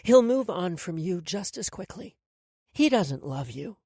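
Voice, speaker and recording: A woman's voice: lowish voice, sing-song, a little slow, husky, dry recording, studio quality, full character voice. Calliope, a woman voicing a coolly cruel woman. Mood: cold, menacing. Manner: soft, coolly menacing. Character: calm, cruel woman toying with captives.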